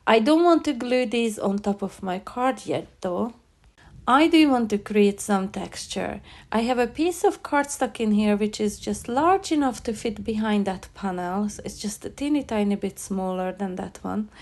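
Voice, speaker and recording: A woman's voice: medium pitch, moderate pace, a little mellow. A woman with a stuffy, nasal voice from a head cold, chattily narrating a craft demonstration as a tutorial host. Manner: chatty, enthusiastic, self-correcting.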